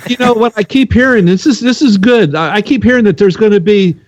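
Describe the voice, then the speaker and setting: A man joining talk radio chat, halting and repeating words as he talks. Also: high-pitched, no room sound.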